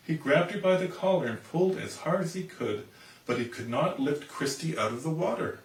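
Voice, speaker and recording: Lively man reading stories, roomy sound. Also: on the high side, normal speed. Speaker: storybook reader. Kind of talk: animated children's storybook narration. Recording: roomy, distant microphone.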